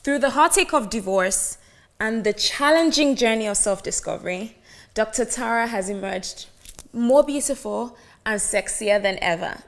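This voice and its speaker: Woman from Nigeria, higher voice, talk show host, affirming and conversational. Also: animated, moderate pace. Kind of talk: scripted intro then casual chat.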